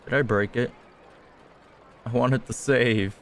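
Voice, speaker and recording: Slow-talking man streaming a game. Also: mid-range voice, fairly even intonation, a bit bright, a little hiss or hum. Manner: offhand, talking to himself. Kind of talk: casual muttered gameplay chat. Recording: stream voice over game music.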